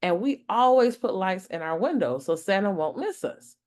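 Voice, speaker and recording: A woman's voice: medium pitch, lively intonation, normal speed, darkish tone, close to an everyday voice. Woman playing a reassuring, lilting storybook mother. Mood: explaining. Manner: soothing, patiently explaining. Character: gentle, reassuring mother explaining magic to her daughter.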